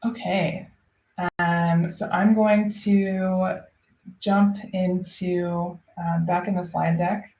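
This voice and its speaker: A woman's voice: lowish voice, little pitch movement, very slow, mellow, echoey room. A woman hosting a live online webinar as a solutions engineer, chatty and upbeat with frequent ums.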